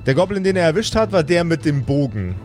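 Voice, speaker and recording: A man improvising tabletop game narration as game master, with halting, deliberate phrasing. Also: on the high side, big pitch swings, a little mellow, faint music behind.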